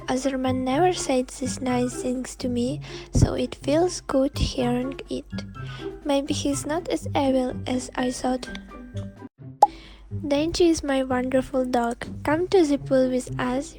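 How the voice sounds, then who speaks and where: A woman's voice: high voice, steady tone, a little slow. A woman performing a dramatic comic dub as narrator and voice actor. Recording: music behind the voice.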